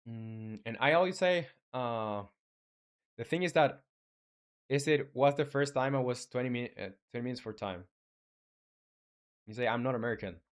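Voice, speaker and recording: Young man, Chilean Spanish accent, casual stream chat, halting, stops and restarts a lot, mid-pitched. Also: smooth. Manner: halting, many restarts and fillers. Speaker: game developer streamer. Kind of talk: casual live-stream chat reading viewer comments.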